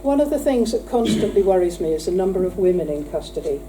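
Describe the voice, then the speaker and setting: Dark-voiced woman addressing a conference hall. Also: on the low side, lively intonation, fast. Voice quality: loud, projecting voice. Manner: earnest, emphatic, with dry asides. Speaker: conference speaker opening the event. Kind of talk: formal welcome speech with light humour. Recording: distant mic in a hall with faint hum.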